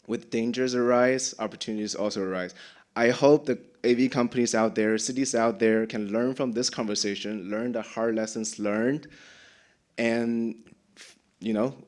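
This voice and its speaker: A man's voice: medium pitch, fairly even intonation, moderate pace, a little mellow. A man whose first language is Chinese, moderating a conference panel in a formal and polite way, with hesitant fillers.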